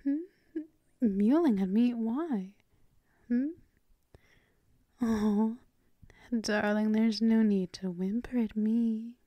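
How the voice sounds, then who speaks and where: A woman playing a seductive, dominant female vampire mistress in an ASMR roleplay, mostly in a breathy, purring whisper, teasing and possessive. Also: fairly high pitch, no room sound, lo-fi sound. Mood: possessive, coaxing. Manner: slow, purring, lingering with hums.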